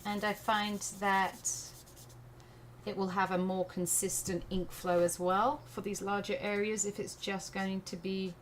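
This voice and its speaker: A woman's voice: mid-range voice, little pitch movement, a little slow. A woman from England with a blended English and American accent, casually thinking aloud as she hosts a live art tutorial. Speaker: art livestream host. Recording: noticeable background noise.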